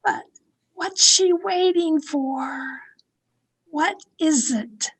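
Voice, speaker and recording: A woman acting a domineering, fearful, haunted wife in an old-time radio thriller, with an imperious, dramatic delivery full of halting dread. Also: high voice, poor audio. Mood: desperate, commanding.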